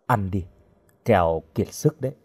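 A man voicing a cold, taciturn henchman with a hidden kindness, speaking in a gruff, curt way. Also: on the low side, animated, moderate pace, dark tone. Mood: curt, subdued.

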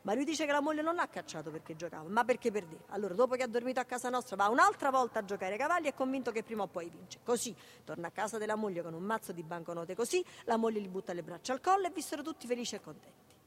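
A woman's voice: a little high, animated, rapid-fire, a bit bright. An actress with a Neapolitan accent playing a harried, proud, affectionate single mother in a radio play, emphatic and repetitive. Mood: wry explaining. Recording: noisy radio theatre recording.

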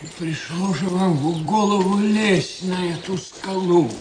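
An actor playing a wry, observant doctor and anxious loyal friend in a radio drama, speaking expressively. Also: male voice, high-pitched, unhurried. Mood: uneasy. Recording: roomy, noisy radio drama sound.

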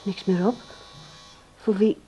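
An actress playing an uneasy, self-interested adult daughter in a radio play, with a hesitant, stammering delivery. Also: female voice, mid-range voice, steady tone, takes it slowly, lo-fi sound, background noise, natural voice. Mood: evasive, probing.

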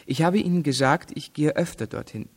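An actor playing a cool, arrogant, educated rich young student in a radio play, speaking precisely and evasively in a reverberant room. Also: male voice, a little high, brisk.

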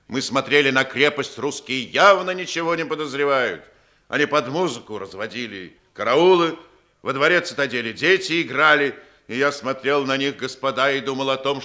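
A forceful, clear-edged male voice at middle pitch , an actor's performance, playing a stern German general in a vintage radio drama. He speaks formally and weightily, every phrase a measured command. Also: close to an everyday voice. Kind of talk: 1950s Soviet radio theatre acting.

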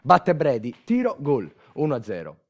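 A man's voice: mid-range voice, wildly swooping pitch, moderate pace. A man acting a theatrical monologue as a wry everyman storyteller, colloquial. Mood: wry, nostalgic. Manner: wry, colloquial, repeating catchphrases. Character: wry everyman storyteller recalling football and old times. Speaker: actor narrating a monologue.

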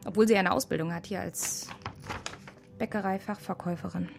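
An actress playing a troubled, candid woman detective in a radio crime drama, speaking in a hushed, informal, questioning way. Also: mid-range voice, fairly even intonation, a little fast, creaky, background noise, close to an everyday voice. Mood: pensive, questioning.

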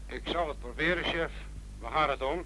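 A man's voice: a little high, moderate pace, bright, forceful tone, poor audio. An actor playing a reluctant policeman who poses as a desperate caller in a Dutch radio play. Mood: reluctant, uneasy. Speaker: police officer.

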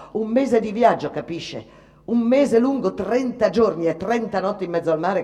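A woman's voice: fairly low pitch, dark tone, lightly acted. An actress playing a bitter, weary Italian immigrant mother in a dramatic radio monologue, plain-spoken, rustic and emphatic. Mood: indignant. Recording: reverberant hall-like sound.

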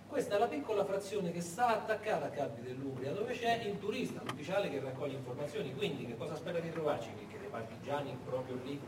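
Echoey stage narration by a man, emphatic and dramatic, with big swings in pitch, questioning rhetorically. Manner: emphatic, repeats phrases, asks rhetorical questions. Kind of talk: dramatic theatrical storytelling. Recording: echoey stage, distant mic, faint noise.

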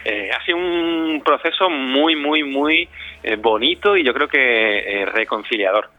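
Guy being interviewed on radio, halting, lots of pauses, dry kinda dull studio sound. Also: mid-range voice, lively intonation, dry recording. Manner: hesitant, searching, with many pauses. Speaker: audio drama director, interview guest. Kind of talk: spontaneous interview talk.

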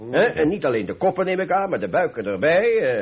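A boisterous Golden Age painter haggles and boasts in a radio play. His husky voice is fairly high and swings widely, full of exclamations and proud bluster. The old broadcast recording sounds muffled. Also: big pitch swings, fast talker, full character voice. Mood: jovial haggling. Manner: jovial haggling, theatrical exclamations and chuckles. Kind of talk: Dutch radio play acting.

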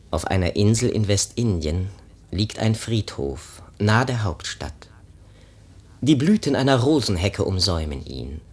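An actor playing a solemn, poetic prologue speaker in a radio drama, declaiming in a measured, theatrical way. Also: male voice, lowish voice, husky, heavily acted. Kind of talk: recited verse prologue in German radio drama.

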